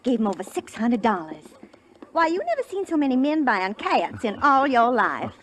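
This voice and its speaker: A woman's voice: fairly high pitch, big pitch swings, moderate pace. An actress playing a chatty, folksy young saloon girl with a rural American drawl in a radio western. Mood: gossipy. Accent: Southern or Western American. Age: young adult. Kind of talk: 1950s radio western acting. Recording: noisy 1950s radio recording.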